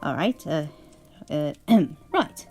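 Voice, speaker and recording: A prim, chuckling English grandmother, as a woman puts her on, settles in to read a bedtime storybook. She speaks slowly, with a lilting, swooping tone. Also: deep voice, expressive, breathy edge, soft background music, heavily acted. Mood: doting. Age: older. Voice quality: sing-song, gently laughing, prim.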